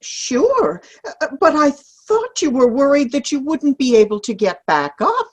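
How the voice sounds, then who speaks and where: A woman playing a prim, forgetful, giggly old lady in a comic play reading, speaking haltingly. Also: sits high, sing-song. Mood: mild, hesitant. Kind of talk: virtual play reading, comedy. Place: video call.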